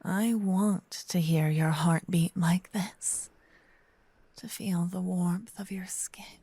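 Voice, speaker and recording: A woman voicing a gentle, possessive, seductive female vampire lover in a breathy, intimate whispered ASMR roleplay, close to a binaural microphone. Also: lowish voice, fairly even intonation, no echo, heavily acted. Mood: yearning, pleading. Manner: slow, intimate, lingering delivery with solemn romantic phrasing. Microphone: close binaural microphone, ear to ear.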